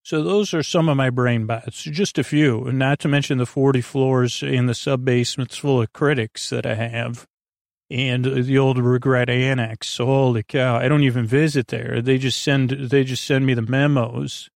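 Guy rambling a bedtime podcast, creaky voice, halting and wandering, really dry close sound. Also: medium pitch, steady tone, no room sound. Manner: hesitant, meandering, halting. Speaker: podcast host. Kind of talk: rambling improvised bedtime monologue.

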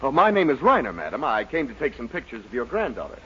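An actor playing a courteous but easily exasperated photographer in a 1940s radio sitcom. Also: male voice, mid-range voice, huge pitch swings, quick pace. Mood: polite. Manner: courteous, exaggerated disbelief. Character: polite magazine photographer, incredulous and easily exasperated. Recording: muffled old radio with loud hiss.

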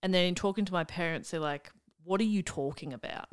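Australian woman, young adult, smooth and clear, a bit low-pitched, casual self-deprecating chat. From Melbourne, Australia. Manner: chatty, self-deprecating, quick asides. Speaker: guest, comedian and podcaster.

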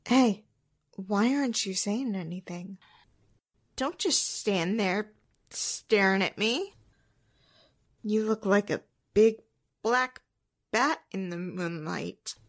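A woman's voice: mid-range voice, slow pace, pauses often. A woman acting a brash, frightened woman in a 1940s-style radio drama. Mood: impatient, brash. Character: brash, frightened woman meeting someone at midnight.

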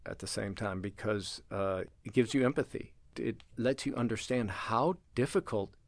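An older man speaks in a low, gravelly voice. He tells anecdotes with energy, playing out both sides of a conversation. The sound is close and dry. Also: normal speed, no echo. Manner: animated storyteller, acts out dialogue, laughs often. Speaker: language center director, interviewee. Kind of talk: casual interview with anecdotes.

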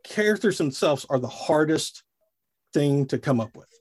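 A middle-aged man, a voice actor, talking casually in an interview with a slightly husky voice and lively delivery. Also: fairly high pitch, normal speed. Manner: animated, demonstrates character voices mid-sentence.

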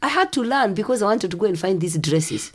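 A middle-aged woman from Kenya, telling lively stories as a podcast guest and acting out the voices of people she quotes. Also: mid-range voice, brisk. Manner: animated storyteller, acts out quoted voices. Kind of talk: casual storytelling interview.

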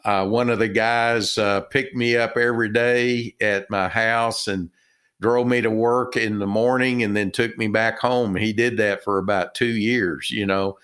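A middle-aged man from Texas with a Texan accent, a guest telling his story in a halting way with many fillers and restarts. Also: lowish voice, steady tone, fairly bright tone, clean tone. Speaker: guest, retired business owner and author. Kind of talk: casual interview talk.

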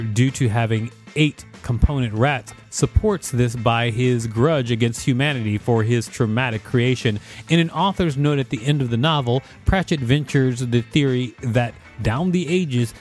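Man, average pitch, podcast co-host, article read-aloud, background music. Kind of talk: reading an encyclopedia article aloud.

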